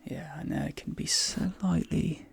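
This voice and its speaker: An ASMR performer speaks as a reassuring dentist in a soft, very breathy whisper. The delivery is slow and soothing, with short repeated phrases. A strong crackle runs through the voice. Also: mid-range voice, steady tone, no echo. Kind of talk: ASMR role play, soft whispering with personal attention.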